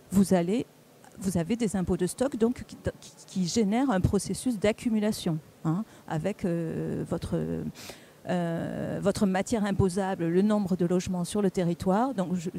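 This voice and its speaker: A speaker giving a public presentation at a round table, explaining didactically in a halting way, with repeats and self-corrections. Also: mid-range voice, dark tone, slight background noise. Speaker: conference speaker presenting on local government finances.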